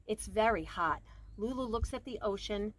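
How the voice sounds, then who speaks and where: A woman's voice: mid-range voice, normal speed. A woman reads a children's picture book aloud expressively, leaning on key words and varying her volume. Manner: expressive, with emphasized words and varied dynamics. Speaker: storybook reader. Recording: clear background noise.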